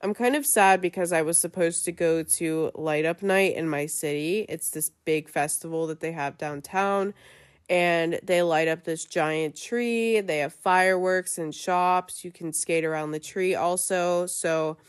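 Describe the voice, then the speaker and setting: A woman's voice: fairly low pitch, a little slow, clear, resonant tone. A young woman hosting her own podcast in a chatty, casual way with many fillers, her voice stuffy and nasal from a cold. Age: young adult. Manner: chatty, lots of fillers and likes. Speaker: podcast host. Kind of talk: casual chat.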